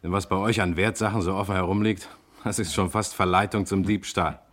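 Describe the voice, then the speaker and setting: An actor playing a calm, probing investigator in a German radio crime play, matter-of-fact and measured. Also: male voice, sits low.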